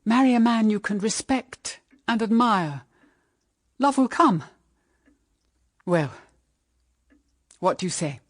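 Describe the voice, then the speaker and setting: A woman's voice: medium pitch, lively intonation, slow, pauses often, dry recording, studio quality. An actress with a British accent playing a middle-aged widowed mother, solemn and anguished, in radio drama style. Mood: earnest pleading. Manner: solemn, intense, melodramatic. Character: anguished, bitter widowed mother pleading with her daughter.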